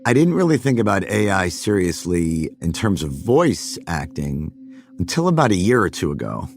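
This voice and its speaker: Deep gravelly-voiced man, dry studio sound. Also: sing-song, moderate pace, soft background music. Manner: slips in and out of character voices. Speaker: voice actor. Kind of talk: reflective podcast monologue.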